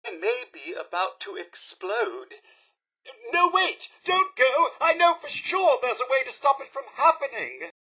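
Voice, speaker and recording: A man's voice: extremely high pitch, big pitch swings, measured pace, pushed and bright, husky, exaggerated voice, poor audio. A man playing an anxious, polite robot butler in a video game, with a British accent, a robotic effect and a fussy, melodramatic, formal manner. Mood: frantic panic. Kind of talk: video game character voice lines.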